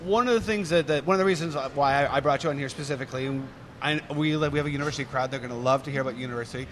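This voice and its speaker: A man's voice: medium pitch, very fast, a bit bright, a little hiss or hum. Rambling, upbeat male radio host. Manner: rambling, restarts, enthusiastic. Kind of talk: casual live radio interview. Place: convention centre fair.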